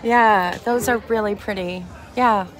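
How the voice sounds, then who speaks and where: A woman's voice: medium pitch, fairly even intonation, unhurried, a faint crowd, poor audio. A woman hosting a casual shopping vlog at an outdoor market booth, gushing over the items with short admiring exclamations. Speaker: host.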